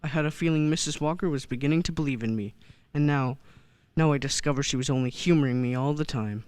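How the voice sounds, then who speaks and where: A man acting a kindly, principled elderly gentleman in a radio play, with earnest, deliberate phrasing. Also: mid-range voice, smooth, natural voice. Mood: disappointed, wistful.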